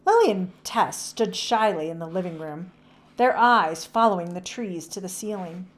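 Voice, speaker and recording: Animated woman reading a children's story. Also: fairly low pitch, normal speed. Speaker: children's book read-aloud narrator. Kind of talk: expressive scripted children's story narration.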